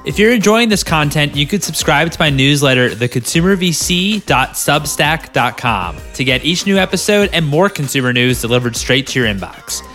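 Male podcast host, mid-pitched, upbeat, faint background music. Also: expressive, moderate pace, few pauses, bright, forceful tone. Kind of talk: scripted intro and casual interview. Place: Los Angeles.

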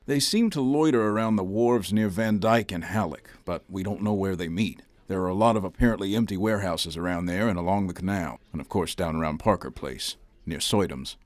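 Radio drama government man, male actor: lowish pitch, officious and condescending, dry studio sound. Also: a little fast, dry recording, close to an everyday voice. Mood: stern, contemptuous. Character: condescending, officious male federal immigration agent.

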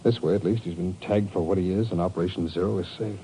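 An actor playing a bitter, fearful, disillusioned turncoat agent with an agitated, self-mocking manner in 1950s radio drama style. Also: male voice, deep voice, fairly even intonation, fast talker, warm tone, rough recording. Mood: bitter regret. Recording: old radio recording, faint noise.

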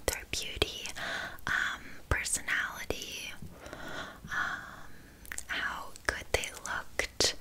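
A woman whispering an ASMR roleplay as a flippant, bumbling witch, with a breathy voice and casual, halting asides. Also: poor audio. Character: flippant, bumbling female witch brewing love potions.

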